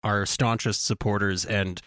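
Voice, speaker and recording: A man's voice: fairly low pitch, steady tone, measured pace, a bit bright, clean tone, no room sound, studio quality. A man co-hosting a podcast, talking earnestly and reflectively, thinking aloud as he goes. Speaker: podcast co-host. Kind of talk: casual podcast discussion.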